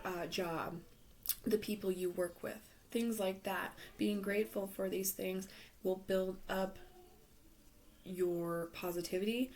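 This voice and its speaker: Lady doing a tarot reading at home, voice going a bit hoarse, lots of ums, noisy background hiss. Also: mid-range voice. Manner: relaxed, many ums, repeats words. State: losing her voice, coughing. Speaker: tarot reader. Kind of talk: casual tarot reading to camera.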